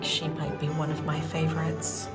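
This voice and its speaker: A woman's voice: low voice, completely flat delivery, moderate pace. A woman hosting a chatty home decorating vlog, talking in an enthusiastic, gushing way. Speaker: vlog host. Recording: music playing behind the voice. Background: music.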